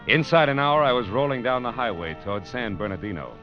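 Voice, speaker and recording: A man's voice: mid-range voice, lively intonation, fast, close to an everyday voice. An American actor playing a world-weary, wisecracking male private detective in a hard-boiled, sardonic old radio drama style. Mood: wry, world-weary. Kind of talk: 1940s-50s American radio detective drama acting and narration. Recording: dull vintage radio recording, loud music behind.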